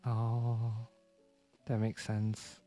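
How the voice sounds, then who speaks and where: A man's voice: fairly low pitch, monotone, very slow, mellow, faint music behind. A man reacting casually on a live game stream, talking sympathetically back to the characters.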